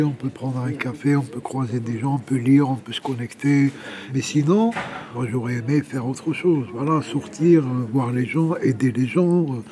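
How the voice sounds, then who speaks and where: A man gives a personal testimony in informal, conversational language, repeating words as he searches for the next. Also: medium pitch. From Algeria, Kabyle. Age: 60s. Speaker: interviewee, exiled journalist living homeless.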